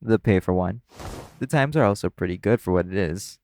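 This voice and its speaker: Guy doing a chill teasing club performer for an audio rp, lowish gravelly voice, super casual. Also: fairly quick, heavy background noise. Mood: friendly, reassuring. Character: easygoing, teasing male club performer chatting with new coworker. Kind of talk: intimate audio roleplay, casual conversational acting.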